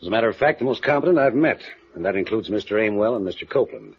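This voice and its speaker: A man's voice: lowish voice, expressive, racing, husky. A man playing a guarded, well-spoken wealthy father in a 1950s radio noir drama, speaking formally and evasively. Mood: smooth, probing. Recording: muffled vintage radio drama recording.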